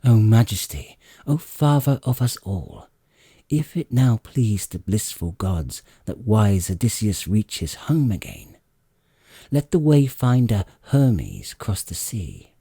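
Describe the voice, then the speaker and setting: A man voicing an earnest goddess pleading with her father in an audiobook, reverent and impassioned, in his low husky voice. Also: wildly swooping pitch, slow pace, mellow, no echo, studio quality, exaggerated voice. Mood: reverent, resolute.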